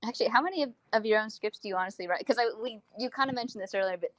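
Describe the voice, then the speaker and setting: A woman's voice: on the high side, very fast, low-quality recording. A woman interviewing someone on a casual podcast in a teasing, chatty manner.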